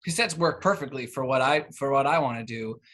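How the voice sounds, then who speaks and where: A man's voice: medium pitch, fairly quick, noisy background. A man in his thirties being interviewed in a casual, conversational way, with hesitant, rambling phrasing. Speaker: interview guest, cassette exchange organiser.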